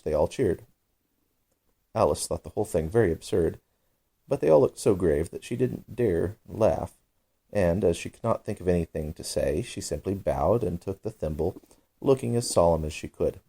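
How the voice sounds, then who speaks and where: A man's voice: sits low, dark tone, slightly rough. A man narrating a children's bedtime story as a storybook reader.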